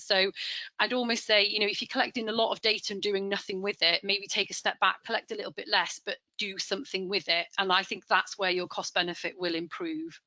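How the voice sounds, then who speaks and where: A chatty woman talking through a webinar with a bright, forward tone. The audio is dry and a little muffled, like an online call. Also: mid-range voice, no echo. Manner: chatty, restarts often, laughs easily. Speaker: livestock advisor presenting a webinar. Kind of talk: conversational webinar presentation.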